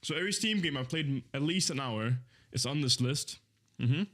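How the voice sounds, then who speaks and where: A young man in his twenties, chatting casually on a live stream in a voice of ordinary, middle pitch. He rambles easily, fills gaps with ums, and corrects himself mid-sentence as he goes. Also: animated, moderate pace, a bit bright. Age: 20s. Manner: rambling, easygoing, with many fillers and self-corrections.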